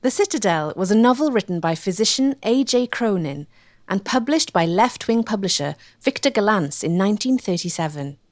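A woman's voice: mid-range voice, dry recording. Woman narrating a documentary, dry close sound. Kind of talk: scripted narration.

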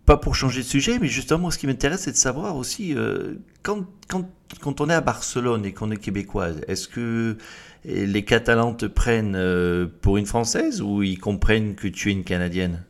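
A man's voice: on the low side, normal speed, creaky. A man hosting a conversational interview, with hesitant phrasing as he repeats words while forming his questions. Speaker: interviewer, host.

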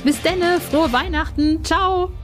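A woman's voice: very high-pitched, slow, loud music behind the voice. A woman presenting as a podcast host, in a casual style with announcements.

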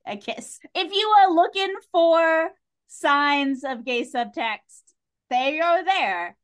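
A woman speaking as a podcast guest in casual, animated chat, giving her views informally and with emphasis. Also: high-pitched, slow. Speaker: podcast guest discussing a TV series.

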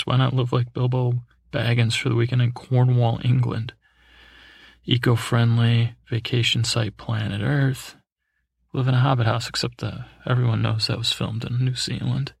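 A man with a husky, gravelly voice hosts a sleep podcast, reading out a list and wandering off into side remarks. He stumbles over names and keeps a low, even tone that barely rises or falls. The recording is dry and close, with no room around him. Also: dry recording. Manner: meandering, self-correcting, wry asides. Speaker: podcast host. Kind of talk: sleep podcast, rambling read-aloud.

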